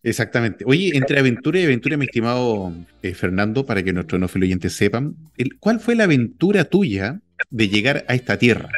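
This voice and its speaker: A man's voice: mid-range voice, big pitch swings, a little fast, faint music behind. A man hosting a casual interview, asking long friendly questions and dropping short backchannels. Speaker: podcast host.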